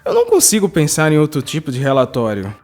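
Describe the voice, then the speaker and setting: Animated, insistent young man played by a man. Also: a little high, fast, faint music behind. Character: insistent, alarmist twenty-something man of the far future. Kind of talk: audio drama acting.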